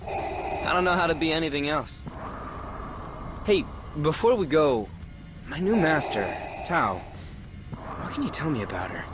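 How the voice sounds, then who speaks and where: A man's voice: fairly high pitch, lively intonation, measured pace, pauses often, over music. A man voicing an earnest, nervous young Jedi apprentice in a video game, with a hesitant, stammering delivery. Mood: earnest, uncertain. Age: young adult.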